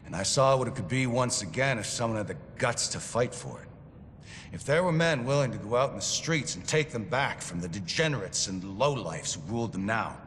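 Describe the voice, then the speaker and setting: A man's voice: animated, a little fast, fairly bright tone, close to an everyday voice. An actor playing a zealous, authoritarian militia commander giving a stern, grave, oratorical speech to his soldiers in a game. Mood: grave resolve. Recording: long hall reverb, faint rumbling noise.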